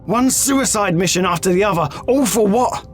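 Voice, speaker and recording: A man's voice: sits high, normal speed, faint music behind, close to an everyday voice. A man playing a cynical, defiant veteran soldier in an audio drama, insistent and persuasive, pressing with pointed questions. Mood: bitter, insistent.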